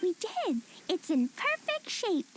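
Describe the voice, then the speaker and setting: A woman voicing a tiny, childlike game companion who is squeaky, perky, blunt and quick to get defensive. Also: wildly swooping pitch, background noise, heavily acted. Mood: matter-of-fact. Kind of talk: scripted anime game voice acting.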